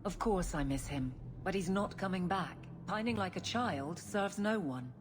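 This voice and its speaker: A woman's voice: on the low side, lively intonation, moderate pace, noisy background, close to an everyday voice. A woman voicing a steadfast, blunt guardswoman in a video game, speaking in an earnest, plain, firm way. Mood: somber, resolute.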